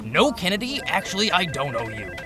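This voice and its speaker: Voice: mid-range voice, sing-song, a little fast, over music, close to an everyday voice. An actor playing an exasperated, sarcastic veteran newspaper editor in a noir audio drama, long-winded and biting. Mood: indignant, impatient.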